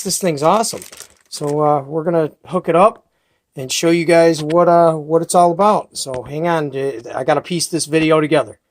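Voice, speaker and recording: An enthusiastic man hosts a casual gear review in a folksy, rambling way. He films at home by himself on a handheld camera mic. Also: fairly high pitch. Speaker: host of a CB radio review channel. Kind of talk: casual product review and unboxing.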